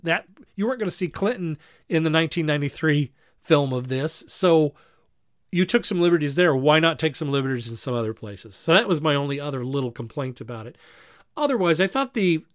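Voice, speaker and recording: A man's voice: on the high side, expressive, brisk, dry recording, studio quality. Talkative man in casual critical discussion. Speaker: podcast panelist reviewing fan productions.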